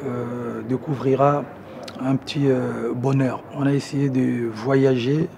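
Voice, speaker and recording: A man in his thirties from Congo with a Congolese French accent, talking casually to camera, relaxed and hesitant with many fillers. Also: mid-range voice, slow, gravelly, background noise. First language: Lingala. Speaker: musician presenting his own interests. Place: outdoor garden terrace among plants. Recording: music playing under the voice.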